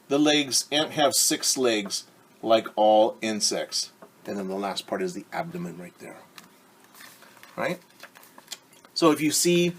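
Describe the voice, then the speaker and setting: A man teacher reading a picture book aloud to young children, explaining words, asking them questions and adding playful sound effects. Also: fairly low pitch. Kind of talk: children's story time read-aloud.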